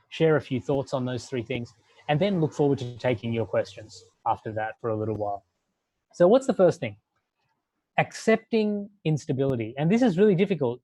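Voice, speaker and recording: A man who grew up in Australia, giving a sermon-like talk in a measured way and building long lists for emphasis. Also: medium pitch, warm tone, dry recording, low-quality recording.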